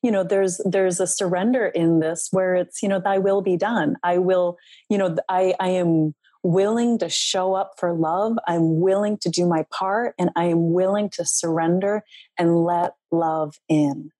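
A woman's voice: on the low side, fairly even intonation, a little slow, no echo. A middle-aged woman speaking earnestly and reflectively as a guest on a podcast, sharing her healing as a relationship coach. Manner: earnest, reflective, soft-spoken. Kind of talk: heartfelt podcast interview.

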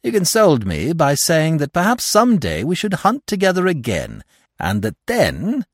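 A man's voice: a little high, huge pitch swings, normal speed, husky, dry recording, clean studio sound, voice pushed up, heavily acted. A man voicing a detective's susceptible male friend, an earnest, easily shocked romantic with a self-conscious manner, in an audiobook. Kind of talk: audiobook character voice.

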